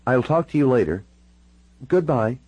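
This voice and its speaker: A man's voice: medium pitch, expressive, moderate pace, a little mellow, slightly rough, no echo, voice pushed up, poor audio. A man voicing a troubled, sardonic, hard-drinking woman with a wry, frank and informal way of talking, in audiobook dialogue. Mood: hesitant, subdued.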